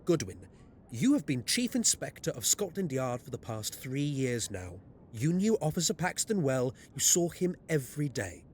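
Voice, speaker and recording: An actor playing a self-assured, shrewd Victorian detective in an audio drama, articulate, commanding and probing. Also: male voice, mid-range voice, big pitch swings, normal speed, darkish tone, slight background noise, close to an everyday voice. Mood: challenging, earnest.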